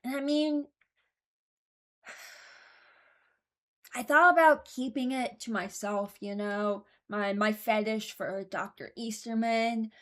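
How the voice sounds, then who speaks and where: A woman's voice: on the high side, slow pace, pauses often. Female YouTuber for casual ranking commentary; frank, rambling, hesitant, mock-serious. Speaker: YouTuber ranking game voice lines.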